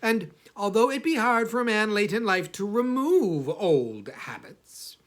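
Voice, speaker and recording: A man playing a haughty, misanthropic older traveller narrating his memoir, with halting, theatrical delivery and emphatic pauses. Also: sits high, big pitch swings, fairly bright tone, full character voice. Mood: fastidious, aloof. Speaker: audiobook reader. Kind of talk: dramatic first-person literary narration.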